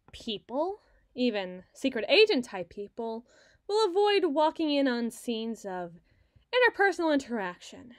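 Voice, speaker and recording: Casting: woman performer as wry, bossy female spy for roleplay audio, higher-pitched, wordy and teasing, dry close sound. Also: fairly bright tone, no room sound. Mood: wry, explanatory. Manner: wry, wordy, teasing, flustered when challenged. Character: sardonic, fast-thinking female secret agent, bossy boss to scientists. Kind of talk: ASMR roleplay audio drama.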